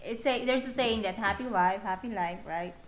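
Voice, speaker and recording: A woman's voice: medium pitch, normal speed, roomy sound, slight background noise. A woman in her thirties from the Philippines with a thick Filipino accent, co-hosting a casual vlog in a giggly, playful way. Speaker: vlog co-host. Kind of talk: casual chat.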